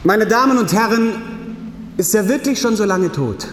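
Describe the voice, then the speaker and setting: Echoing, high-pitched award speaker. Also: male voice, expressive, moderate pace. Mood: solemn, moved. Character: writer giving a formal award acceptance speech. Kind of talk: public speech in radio play. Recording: echoey hall with faint noise.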